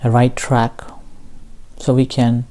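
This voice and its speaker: Very slow, mellow male meditation teacher. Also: mid-range voice, completely flat delivery. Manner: slow, gentle, with long pauses between short phrases. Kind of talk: guided meditation dharma talk.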